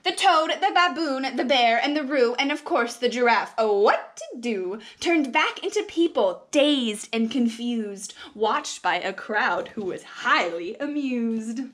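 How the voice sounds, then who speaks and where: High-pitched young woman reading to preschoolers. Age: young adult. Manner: expressive, sing-song, drawn-out storytelling for small children. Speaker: preschool teacher. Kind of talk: animated read-aloud of a children's picture book.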